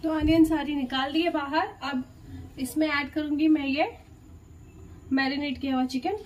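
A woman's voice: high voice, fairly even intonation, normal speed. A woman hosting a cooking video, chatting through each step for her viewers in her home kitchen. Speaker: cooking channel host. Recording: noisy home recording.

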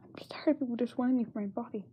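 A young woman playing a frightened, self-doubting young singer in a halting diary monologue, often dropping to a whisper. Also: on the high side, muffled recording, close to an everyday voice. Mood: weary, downcast. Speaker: amateur voice actor. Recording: noisy makeshift amateur microphone recording.

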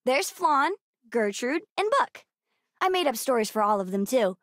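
A woman voicing an eager, precocious young girl in a video game, earnest and expressive. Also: on the high side, normal speed, dry recording, studio quality. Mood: playful, bookish. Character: bright, eager, bookish young girl aboard a spaceship. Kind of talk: video game character voice lines.

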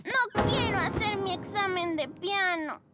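A woman's voice: extremely high pitch, measured pace. A woman voicing a timid, sweet little girl pug puppy in a preschool cartoon, childlike and hesitant, with a pleading way of talking. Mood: anxious. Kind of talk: preschool cartoon dub acting. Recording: music behind the voice.

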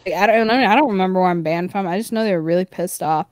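A woman's voice: lowish voice, quick pace, a little mellow, no echo, clean studio sound. A woman playing a tabletop game, joking and teasing in crude, casual banter.

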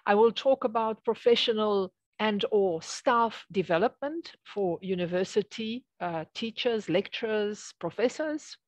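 A woman from Belgium gives an academic talk online as a guest professor. Her delivery is halting and conversational, at a middling pitch, picked up dry and close with very little room sound. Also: expressive, no room sound. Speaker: associate professor, guest speaker. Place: small office with bookshelves, indoors. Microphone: headset on a video call.

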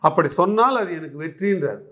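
A man's voice: high-pitched, lively intonation, fast talker, sharp tone. A man giving political commentary in an interview, arguing emphatically, repeating phrases and posing rhetorical questions.

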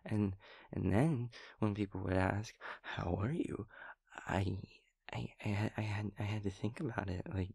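A man voicing a sweet, naive, cheerful young daemon friend in an intimate audio roleplay, with soft, breathy speech and gentle, halting rambling. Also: on the low side, no room sound, clean studio sound, exaggerated voice. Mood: thoughtful, tender. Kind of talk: intimate audio roleplay acting, one-sided conversation.

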